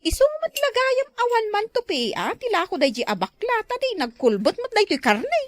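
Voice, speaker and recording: A woman's voice: very high voice, very animated, normal speed, no room sound, clean studio sound. A woman acting a whiny, complaining younger sister in an Ilocano comedy drama, with an Ilocano Filipino accent. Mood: chatty.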